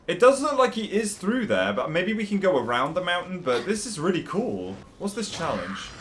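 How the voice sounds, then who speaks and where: A man's voice: sits high, very animated, moderate pace, background noise. A young man giving casual, chatty gameplay commentary, playfully reacting aloud as he goes. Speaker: gaming video creator.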